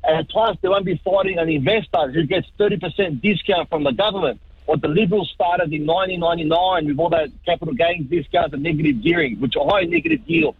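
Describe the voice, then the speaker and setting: An Australian man in his forties rings a talkback show and argues his point with heat and rambling detours. His voice sits high and sounds husky. It comes through a tinny, dry phone line. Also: expressive, fast talker, hardly any pauses, dry recording. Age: 40s. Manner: heated, opinionated, rambling. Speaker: caller. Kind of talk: talkback radio call.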